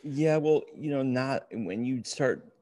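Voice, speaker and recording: A middle-aged man co-hosting a casual book review podcast, talking in a rambling, halting way with plenty of swearing. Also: mid-range voice, lively intonation, warm tone, no echo, clean studio sound. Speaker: podcast co-host. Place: indoor room, remote video call.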